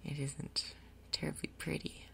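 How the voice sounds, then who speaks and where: Softly whispering woman, ASMR gaming. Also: low voice, little pitch movement, measured pace. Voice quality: soft, breathy, whispered. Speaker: gaming video host. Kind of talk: ASMR game commentary, soft-spoken whispering.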